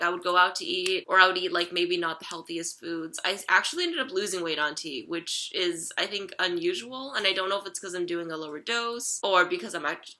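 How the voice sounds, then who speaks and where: A young adult woman chatting casually in a personal vlog, rambling with lots of fillers and a slightly raspy throat. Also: lowish voice, sharp tone. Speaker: vlogger.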